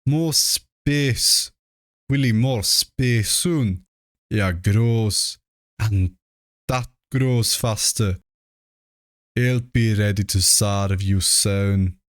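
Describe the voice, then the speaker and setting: A man reading a horror story, voicing a dying, superstitious old rustic grandfather in drawn-out country dialect. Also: fairly low pitch, no echo, clean studio sound. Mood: dying, urgent. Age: older. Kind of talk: storybook character voice in horror tale.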